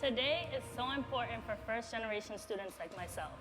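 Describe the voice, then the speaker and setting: A woman's voice: fairly high pitch, lively intonation, brisk, in a large hall, over music. A young woman from the Dominican Republic, a student, giving a sincere prepared speech at a campaign event. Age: young adult. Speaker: student speaker.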